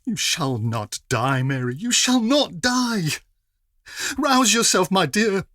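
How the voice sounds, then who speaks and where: A man's voice: high voice, big pitch swings, takes it slowly, fairly bright tone, no echo, clean studio sound, full character voice. A man playing a desperate, grieving young husband in a period audio drama, urgent, imploring and emotional. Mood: desperate, pleading.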